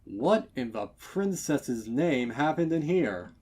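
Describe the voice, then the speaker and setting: A kindly, awkward cartoon stallion, voiced by a man, slightly raised in pitch from a low natural voice. He speaks haltingly and shakily, full of uneasy concern, in a storybook drama. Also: sing-song, darkish tone. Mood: shocked. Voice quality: shaky. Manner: hesitant, halting.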